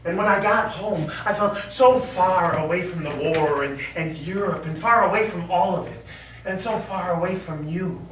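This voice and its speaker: Actor as higher-voiced remorseful veteran, echoey stage. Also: male voice, a bit bright. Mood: sorrowful. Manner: halting, earnest, pleading. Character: remorseful, lovelorn middle-aged American war veteran. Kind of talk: stage play acting. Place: small theatre stage. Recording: echoey theatre stage, distant mic, faint noise.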